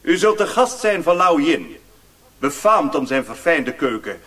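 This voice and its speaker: An actor playing a haughty, sardonic Chinese warlord governor in a radio drama, imperious and questioning. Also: male voice, fairly high pitch, sing-song, measured pace, a bit bright, recorded from a distance. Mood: amused, commanding. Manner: imperious, interrogating.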